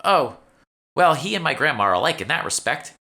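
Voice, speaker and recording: A man's voice: on the high side, big pitch swings, fairly quick, sharp tone, voice pushed up, exaggerated voice. A man reading an audiobook, voicing a chatty, eager young female shopkeeper who is polite and salesy. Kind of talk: audiobook character dialogue.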